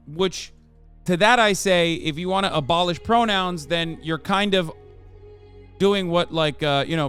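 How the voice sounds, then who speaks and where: Sarcastic, profane male streamer, crisp voice. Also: a little high, a bit bright. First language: Turkish. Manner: profane, sarcastic, rapid rants. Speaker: streamer host. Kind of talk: casual live-stream news commentary.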